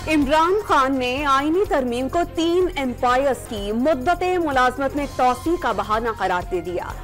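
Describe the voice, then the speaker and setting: Woman, Pakistani Urdu accent, TV headline reader, crisp formal delivery, faint music underneath. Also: high voice, lively intonation, measured pace. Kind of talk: scripted news headlines. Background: news music bed.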